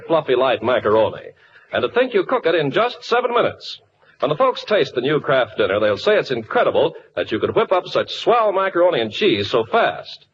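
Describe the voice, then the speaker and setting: American male commercial announcer, 1940s radio, slightly high and very animated, muffled with faint hiss. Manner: upbeat sales pitch, emphatic. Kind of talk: 1940s radio advertisement read.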